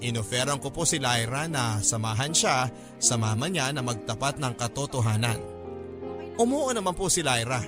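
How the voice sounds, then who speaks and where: A man's voice: mid-range voice, natural voice. A man performing an earnest young student's story as scripted radio drama narration, polite and confiding. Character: earnest, worried young student friend telling their story.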